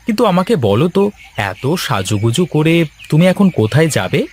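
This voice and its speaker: A man's voice: a little high, sing-song, normal speed, a little mellow, a little hiss or hum. Grumbling, put-upon village husband, acted by a man. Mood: exasperated. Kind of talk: Bengali cartoon story acting.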